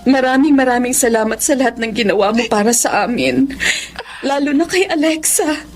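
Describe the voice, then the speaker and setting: Kind lady employer, female actor: raised register, gentle, apologetic, reassuring, Filipino drama. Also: a little high, lively intonation, normal speed, lightly acted. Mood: grateful, emotional. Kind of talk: Filipino radio-style drama acting.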